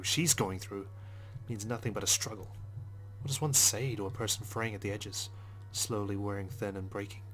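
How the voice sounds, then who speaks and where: Guy reading as brooding guilty cop narrating a visual novel, low and even, soft music behind. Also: lightly acted. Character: brooding, guilt-ridden male police detective narrating inwardly.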